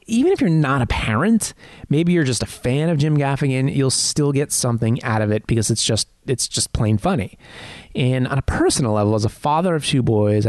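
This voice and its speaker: A man in his thirties hosting a podcast in a friendly, conversational way, with small hesitations. His voice sits at a middle pitch. The recording is close and dry. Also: darkish tone, no room sound. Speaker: podcast host and interviewer. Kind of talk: podcast intro and interview chat.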